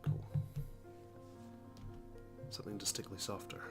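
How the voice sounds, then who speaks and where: A man's voice: a little slow, over loud music. A man narrating a visual novel as he plays, reading the story aloud with casual asides.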